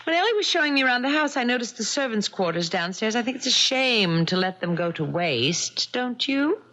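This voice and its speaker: An actress playing a cool, devious wife in a radio mystery, with a sly, sardonic and pointedly polite manner. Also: female voice, on the high side, very animated, a little fast, pushed and bright. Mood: calculating, demanding. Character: cool, devious wife coolly scheming against her bigamist husband. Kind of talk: 1970s radio mystery acting. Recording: dull, lo-fi old radio broadcast.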